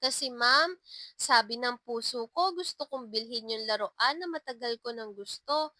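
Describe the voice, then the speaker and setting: A woman's voice: on the high side, normal speed, pushed and bright, dry recording. A woman voicing a curious, polite young pupil in a narrated story. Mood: earnest.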